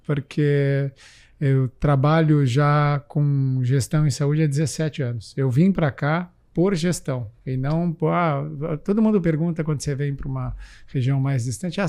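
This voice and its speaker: A man in his forties from the São Paulo countryside, a physician and health manager, speaking reflectively in an interview with frequent pauses and fillers. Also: a little high, creaky, darkish tone. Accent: São Paulo countryside Brazilian Portuguese. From interior of São Paulo state, Brazil. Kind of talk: casual interview talk.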